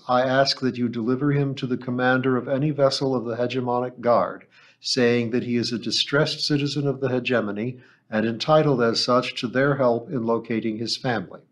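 A man's voice: medium pitch, natural voice. A man doing an earnest, polite teenage boy. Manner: respectful, earnest.

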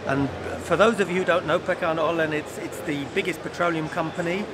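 A man's voice: on the high side, fairly even intonation, fairly quick, noisy background. A middle-aged man hosting interviews at a trade fair, talking in a conversational, upbeat way in a busy exhibition hall. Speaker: host interviewer.

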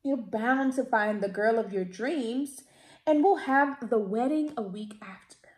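A woman voicing an excitable, encouraging king and father in a storybook, speaking emphatically. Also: a little high, measured pace, natural voice. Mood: excited. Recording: roomy indoor echo.

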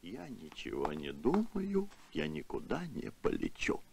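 A man's voice: fairly low pitch, wildly swooping pitch, measured pace, creaky, a little mellow, a little hiss or hum, heavily acted. A man voicing a gloomy, grumbling big bearded spaceship crewman in a Soviet cartoon. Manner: morose, grumbling. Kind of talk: Soviet animated film voice acting.

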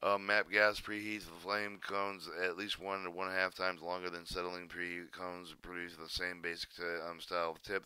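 A man reading a technical manual aloud in a halting way, often stumbling and repeating words. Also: sits low, sharp tone, clear, resonant tone, no room sound. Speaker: channel narrator reading a training manual aloud.

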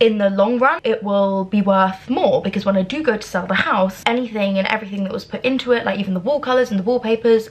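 A woman's voice: mid-range voice, a bit bright. A British woman in her twenties, chatting candidly to camera while doing her makeup at home, with lots of "like" fillers. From Britain. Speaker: beauty vlogger. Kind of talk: casual talking-to-camera vlog. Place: bedroom at home, indoors.

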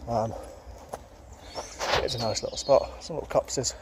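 A man's voice: medium pitch, measured pace. Man rambling on a woodland walk. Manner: rambling, relaxed, thinking aloud. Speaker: vlogger. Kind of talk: casual walking commentary. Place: woodland outdoors. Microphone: handheld phone. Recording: outdoor phone audio with loud noise. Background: traffic noise.

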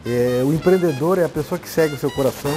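A man answering interview questions in a measured, hesitant way. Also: a little high, mellow, poor audio. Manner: measured, hesitant with many fillers. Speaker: guest. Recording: faint music under the voice.

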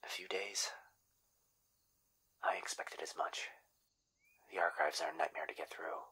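A man playing an anxious, caring young boyfriend in an ASMR roleplay, speaking softly and hesitantly, often in whispers. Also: rumbling low, steady tone, measured pace, exaggerated voice. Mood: worried, subdued. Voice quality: soft, breathy, often whispering. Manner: hesitant, gentle.

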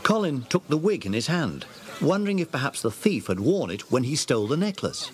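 A man narrating a children's audio drama with animated storytelling. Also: on the high side, fairly quick.

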